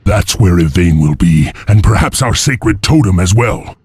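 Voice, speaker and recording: Low-voiced, resolute wolf clan leader. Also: male voice, lively intonation, normal speed, a little mellow, breathy edge, no echo, full character voice. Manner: solemn, commanding. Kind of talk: video game voice acting.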